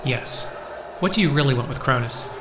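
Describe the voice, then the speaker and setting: An uneasy, nosy housemate played by a man in an audio drama. He is hesitant yet pointed, at a middling pitch, in an echoey recording with clear noise. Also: expressive, normal speed, close to an everyday voice. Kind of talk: scripted audio drama acting. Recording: echoey, noisy recording with clicks.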